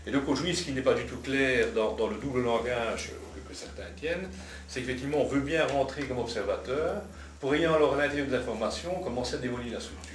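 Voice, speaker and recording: A man's voice: mid-range voice, fast talker. A man speaking as a politician in an unscripted debate, argumentative and long-winded in his delivery. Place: cafeteria. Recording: roomy, distant mic, noisy with clicks.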